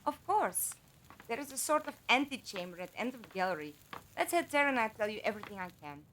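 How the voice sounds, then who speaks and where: A woman playing a calm, trusting captive in an audio drama, speaking politely and reasonably. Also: on the high side, fast talker, slight background noise. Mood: earnest. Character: calm, curious, trusting female captive with lost memory. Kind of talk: fan audio drama acting.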